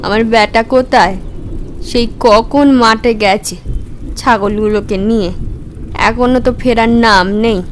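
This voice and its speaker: A woman voicing an anxious widowed village mother in a cartoon, plaintive and halting. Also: fairly high pitch, fairly even intonation, slight background noise. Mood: wary, worried. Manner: plaintive, halting, drawn-out calls. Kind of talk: cartoon voice acting.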